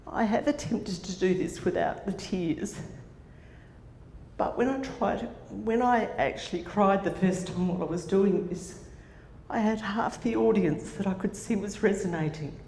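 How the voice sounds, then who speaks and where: A woman's voice: mid-range voice, unhurried. Australian woman telling a story in an echoey hall. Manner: warm storytelling with fillers and restarts. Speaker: conference speaker. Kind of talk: personal story told to an audience. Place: conference hall. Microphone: handheld microphone. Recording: echoey hall with faint noise.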